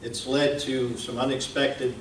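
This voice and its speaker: A man giving an informal presentation, speaking in a plain-spoken, folksy, halting way. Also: mid-range voice, level tone. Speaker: presenter, radio station founder. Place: indoor museum event room. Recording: roomy, distant mic, clear background noise.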